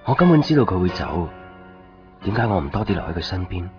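A man's voice: deep voice, sing-song, fast, music in the background. An actor playing a lovelorn, brooding and easily exasperated young man in a Cantonese radio drama. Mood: anxious, heartbroken. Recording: dull 1990s radio recording.

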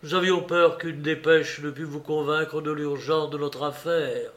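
A man reading distraught exhausted gentleman, breathless, pleading, higher pitched, storybook. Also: fairly even intonation, a little slow. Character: exhausted, distraught middle-aged gentleman visitor.

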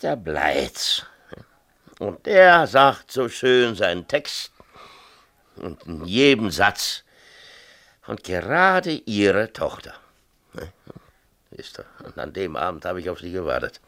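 A troubled, tipsy father in a 1970s radio drama. His low voice is bright and forceful, swinging widely as he confesses and rants. He keeps pressing his listener to agree. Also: wildly swooping pitch, slow, pauses often, full character voice. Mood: agitated, confiding. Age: middle-aged. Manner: emphatic, agitated confessional ranting, seeking agreement. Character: tipsy, aggrieved small-town mayor and strict, troubled father. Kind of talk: 1970s East German radio drama acting.

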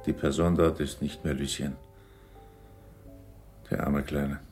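An actor playing a calm, practical male relative caring for an invalid, reassuring and matter-of-fact, in a German radio crime drama. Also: a bass voice, slow, soft background music. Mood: reassuring, grave.

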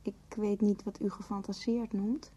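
A woman's voice: medium pitch, level tone, moderate pace, dark tone, breathy edge, close to an everyday voice. A woman acting in a radio play as a shy, earnest seventeen-year-old girl in love, hesitant and polite. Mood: confessing. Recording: dull, muffled old radio play recording.

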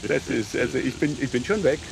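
A man's voice: mid-range voice, lively intonation, fairly quick. A man acting a nervous, hapless motorist in a German crime radio play, stammering, hesitant and flustered but polite. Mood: impatient, evasive. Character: nervous, hapless male motorist disposing of a corpse. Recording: radio play with clear rain noise.

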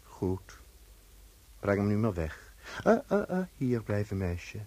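A sinister official from a radio drama, courteous and condescending as a father to a child. He speaks slowly in a husky voice that rises and falls widely. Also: fairly low pitch, very animated, darkish tone, no echo, exaggerated voice. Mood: paternal, menacing. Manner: condescending, polite, deliberate. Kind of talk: Dutch radio play acting.

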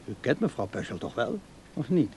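A man's voice: mid-range voice, sing-song, moderate pace, dark tone, slightly rough, exaggerated voice. A man playing a lonely old grandfather in a radio play, hesitant and awkwardly insistent, searching for words. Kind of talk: Dutch radio play acting.

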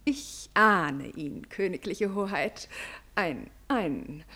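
A woman's voice: lowish voice, lively intonation, very slow, sharp tone, exaggerated voice. A woman playing a prim, gossipy lady-in-waiting in a radio play, deferential and affectedly scandalized. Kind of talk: German radio play acting.

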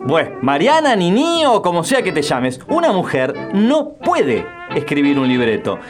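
A woman's voice: lowish voice, huge pitch swings, a little slow, over music. A woman playing a condescending, sexist male radio station director in a radio drama. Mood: scornful, condescending.

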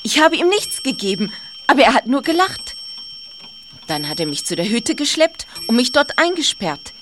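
A high, earnest voice of a shaken but plucky female innkeeper in a radio drama, with faint noise behind it. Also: big pitch swings, normal speed. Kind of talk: radio play acting.

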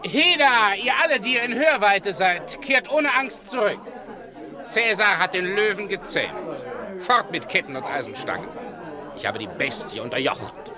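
An actor playing a vain, cowardly and pompous Roman emperor who declaims grandly in a radio drama. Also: male voice, high voice, sing-song, measured pace, crowd noise. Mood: grand, boastful. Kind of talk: theatrical radio play acting.

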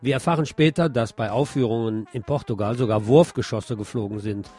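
A man hosting a radio show, delivering an expressive theater review as a monologue and addressing his listeners formally. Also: mid-range voice, fairly quick.

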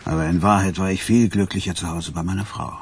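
An actor playing a sentimental old gangster confidant in a radio play, musing and confiding. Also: male voice, sits low, fairly even intonation, fast, slight background noise, natural voice. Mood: confessional. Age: older.

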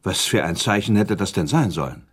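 An actor playing a proud prince under accusation in a radio play, speaking formally and deliberately with cool irony. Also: male voice, low voice, animated, husky. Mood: sceptical, challenging. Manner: formal, deliberate, sardonic, questioning. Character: proud male prince accused of murder, guarded, coolly ironic. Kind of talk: German radio play acting, period dialogue.

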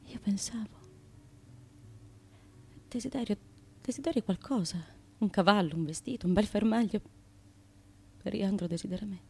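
An actress playing a tormented, dutiful daughter and tyrant's wife of ancient Greece in a feverish, halting radio monologue. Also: female voice, mid-range voice, a little hiss or hum, natural voice. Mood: anguished, intense. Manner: feverish, halting, repeating words, swinging between hush and intensity.

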